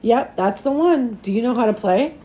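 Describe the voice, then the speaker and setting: Storybook role: encouraging, persuasive male teacher read by a woman, slightly hoarse, gentle delivery. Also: fairly high pitch, lively intonation, normal speed, voice pushed up, lightly acted, poor audio. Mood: coaxing.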